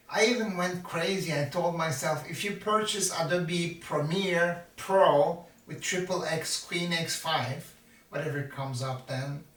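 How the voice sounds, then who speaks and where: Slow rambling man in his fifties. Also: a little high, a bit bright. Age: 50s. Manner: slow, self-deprecating, jokey asides to himself. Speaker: vlogger. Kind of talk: rambling self-mocking monologue. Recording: roomy, from a distance.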